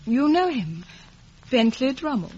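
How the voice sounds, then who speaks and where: A woman's voice: high-pitched, big pitch swings, unhurried, mellow, slightly rough, full character voice. An actress playing a cold, proud, detached young lady in a 1950s radio drama. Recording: muffled, dull vintage radio recording.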